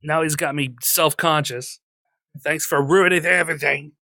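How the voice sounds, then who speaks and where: A man's voice: a little high, steady tone, moderate pace, pushed and bright, no room sound. A man co-hosting a casual podcast, joking and teasing his co-host and laughing often, on a studio mic. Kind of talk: casual chat. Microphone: studio mic through a mixer.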